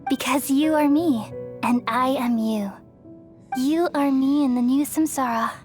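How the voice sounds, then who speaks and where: A woman playing a gentle, wise, ancient tree goddess in a video game, speaking in a measured, reassuring and solemn way. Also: sits high. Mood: serene. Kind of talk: dramatic game voice acting. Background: music.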